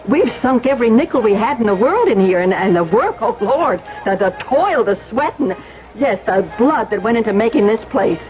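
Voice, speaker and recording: An actress playing an earnest, protective middle-aged hotel-keeper in a 1970s radio drama, speaking in a halting, reasoning way. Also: female voice, fairly high pitch, expressive, soft background music, lightly acted. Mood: concerned, probing. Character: earnest, protective hotel-keeper woman, firm but gentle. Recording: muffled old radio broadcast.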